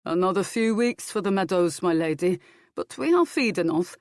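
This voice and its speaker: A woman's voice: fairly low pitch, animated, normal speed, no echo, clean studio sound. A woman voicing a loyal male steward who answers respectfully and reassuringly.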